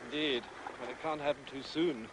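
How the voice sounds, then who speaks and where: Man playing grumpy british painter, mid pitch, dry and short-tempered, faint noise behind. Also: steady tone, a little fast, fairly bright tone, close to an everyday voice, poor audio. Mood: dry, guarded. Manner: curt, dry, irritable. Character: gruff, prickly painter under suspicion. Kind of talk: British detective drama dialogue.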